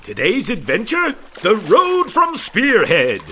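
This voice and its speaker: Man as high-pitched, theatrical Western serial announcer. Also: wildly swooping pitch, slow pace, full character voice. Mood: grand, theatrical. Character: dramatic male announcer narrator of a Wild West serial. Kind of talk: old-time Western serial narration. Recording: loud music behind narration.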